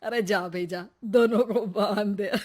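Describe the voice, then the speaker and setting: A performer voicing a mocking, threatening male burglar in an animated story, sneering and jeering. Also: medium pitch. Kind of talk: Hindi animated story dialogue.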